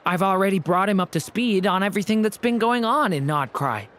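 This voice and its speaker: Gentle, earnest youth. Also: male voice, high voice, expressive, a little fast. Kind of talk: scripted video game dialogue.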